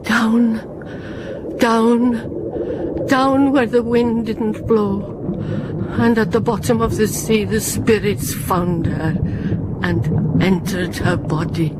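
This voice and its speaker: A woman narrating a ghost legend in a hushed, rhythmic, ominous way. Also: on the high side, steady tone, slow pace, very noisy. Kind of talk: slow dramatic storytelling.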